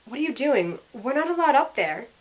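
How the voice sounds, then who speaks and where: A woman's voice: sits high, very animated, brisk, poor audio. A young woman playing a sassy, loyal modern heroine, narrating in the first person in a wry, conversational way. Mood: startled, curious. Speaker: narrator and lead character. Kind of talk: first-person story narration with in-character dialogue.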